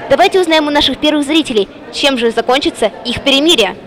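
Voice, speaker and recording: A woman's voice: high voice, animated, fast talker, fairly bright tone. A woman working as a TV reporter, presenting and asking questions on location in a cinema foyer. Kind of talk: on-location vox-pop interviewing. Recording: busy public hall with crowd.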